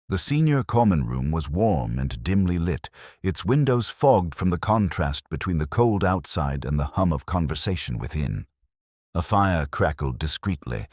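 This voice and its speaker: A man playing a reflective, observant police inspector who narrates a detective audio drama in a measured, literary, brooding manner. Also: a bass voice, no room sound, clean studio sound, exaggerated voice.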